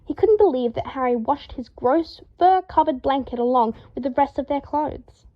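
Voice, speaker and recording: A woman reading a story aloud as narrator in an expressive, scripted style. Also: sits high, normal speed, highs cut off. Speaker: fan fiction narrator.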